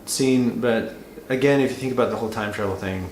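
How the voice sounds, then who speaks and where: A man co-hosting a casual, conversational review, qualifying his points in halting phrases. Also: medium pitch, a little mellow. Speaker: co-host reviewer. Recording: roomy echo with background noise.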